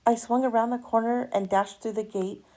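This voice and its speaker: Gravelly-edged woman reading a children's story. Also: fairly high pitch. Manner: animated, dramatic emphasis with drawn-out words. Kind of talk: expressive read-aloud of a rhyming children's picture book.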